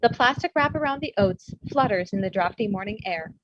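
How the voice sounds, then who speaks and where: A woman's voice: medium pitch, animated, a little fast. A woman reading stage directions as scripted narration at a comedy table read. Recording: dull, noisy home microphone with clicks.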